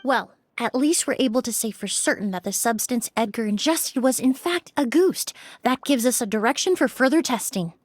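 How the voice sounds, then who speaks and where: Composed, higher-voiced female investigator. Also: animated, no room sound. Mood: analytical. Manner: measured, polite, analytical. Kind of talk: video game voice acting.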